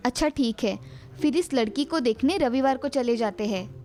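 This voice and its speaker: A caring, practical cartoon matriarch, acted by a woman, speaks in a high voice. Faint music plays under her lines. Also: moderate pace, darkish tone, close to an everyday voice. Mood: motherly. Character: caring, practical Indian family matriarch, mother and aunt. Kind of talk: cartoon story dialogue.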